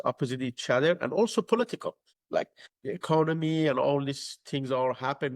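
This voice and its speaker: A middle-aged pastor from Iran speaks English with a Persian accent, pausing often to search for words. His voice has a gravelly edge, and the recording is dry and close. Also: mid-range voice, no room sound. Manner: halting, searching for words, many fillers. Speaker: pastor and guest. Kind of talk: interview answers.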